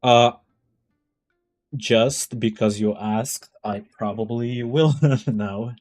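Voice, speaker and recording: A man chatting casually to viewers as a live streamer, halting and thinking aloud as he goes. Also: mid-range voice, steady tone, a little mellow, soft background music. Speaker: live streamer reading chat.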